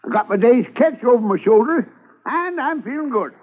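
An actor playing a nervous backwoods settler and trapper who stammers and repeats himself. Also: male voice, very high-pitched, expressive, normal speed. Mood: jittery. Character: jittery backwoods settler man. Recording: tinny 1950s radio transcription.

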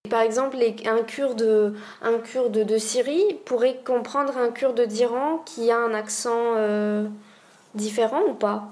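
Higher-pitched woman host asking questions. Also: fairly even intonation, takes it slowly, a little mellow. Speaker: interviewer and co-host. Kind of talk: podcast interviewing and reading headlines.

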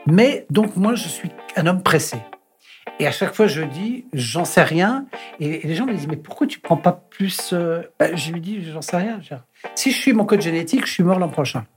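A man in his sixties giving a candid interview as an artist, speaking frankly and reflectively and often trailing off into pauses. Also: high voice, animated, soft background music. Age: 60s.

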